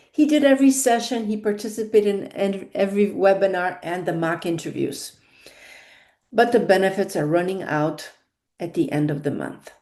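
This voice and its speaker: A woman's voice: medium pitch, mellow. A woman with a non-native English accent giving a monologue as a career consultant, stressing key words and repeating her points.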